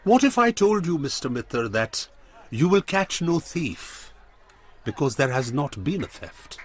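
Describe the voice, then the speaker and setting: A menacing radio drama villain with an Indian accent and a husky voice. His pitch swoops dramatically as he offers mock courtesy, pausing to taunt with smug relish. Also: big pitch swings, full character voice. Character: menacing, smug male crime boss with theatrical courtesy.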